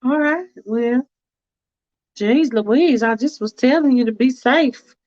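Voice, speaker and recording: A woman puts on a prim, fussy lady who whines in a high, babyish sing-song. She sounds squeaky and put out, her pitch riding well above a normal speaking voice. The recording is a little muffled and lo-fi. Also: slow, a little mellow. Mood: prissy. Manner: prissy, whiny, talks in a high sing-song.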